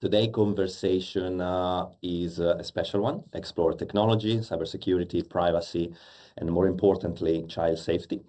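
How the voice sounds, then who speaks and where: A male host with a deep, mellow voice opens a casual live conversation. He talks in halting bursts, stacking fillers as he searches for words in a language that is not his first. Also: steady tone.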